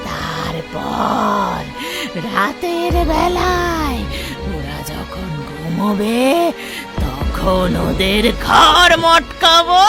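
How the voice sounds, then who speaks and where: A woman's voice: mid-range voice, huge pitch swings, over loud music, full character voice. A woman voicing a scheming, gloating child-eating witch in a melodramatic cartoon, drawing out her menace. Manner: gloating, drawn-out menacing delivery. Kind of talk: melodramatic cartoon villain acting.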